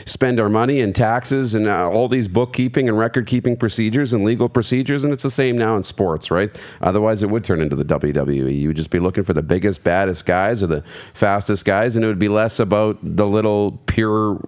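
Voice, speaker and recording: A man's voice: fairly low pitch, brisk, few pauses, darkish tone. A man on a sports talk panel explaining his view earnestly in casual discussion, often checking for agreement.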